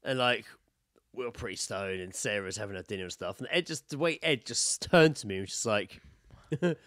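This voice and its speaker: A man's voice: on the low side, fairly quick, fairly bright tone, no room sound, studio quality. A British man co-hosting a podcast, telling casual, sweary anecdotes and laughing easily. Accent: British English. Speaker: podcast co-host.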